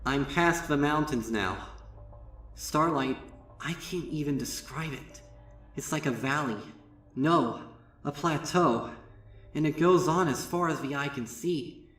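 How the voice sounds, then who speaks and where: A man's voice: fairly high pitch, measured pace, pauses often, fairly bright tone. Man voicing Twilight Sparkle, an awestruck, frightened pony mare. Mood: awed, faltering. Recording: echoey, distant, music behind.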